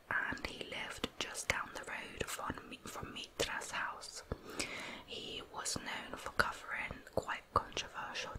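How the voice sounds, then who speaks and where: Whispering woman telling true crime. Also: rough recording. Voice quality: breathy, unvoiced whisper. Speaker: ASMR true crime storyteller, channel host.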